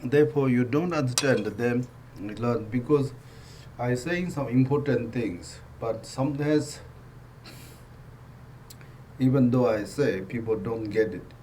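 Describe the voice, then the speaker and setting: A man with a Tibetan accent giving a Buddhist teaching in simple, broken English, repeating phrases and joking gently. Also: mid-range voice, unhurried, pauses often, slight background noise, rough recording. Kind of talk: dharma teaching talk.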